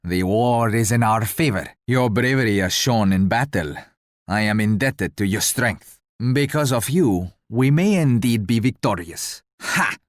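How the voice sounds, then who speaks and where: An actor voicing a proud, jovial explorer-prince who serves as a military ally in a strategy game, with a theatrical, courtly and wry delivery. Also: male voice, fairly low pitch, animated, unhurried, no echo, studio quality. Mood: pleased. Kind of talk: video game voice lines.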